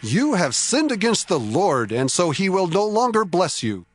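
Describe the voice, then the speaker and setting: A man's voice: high voice, very animated, normal speed. A man playing a brave, stern priest in an audio Bible drama, solemn and admonishing. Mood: stern rebuke. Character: brave, stern male priest rebuking a king. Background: music.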